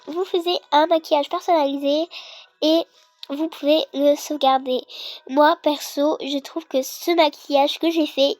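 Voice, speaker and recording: High-pitched young girl with a cold. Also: no echo. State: sick with a cold, congested. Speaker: gaming video creator. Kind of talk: casual game tutorial commentary.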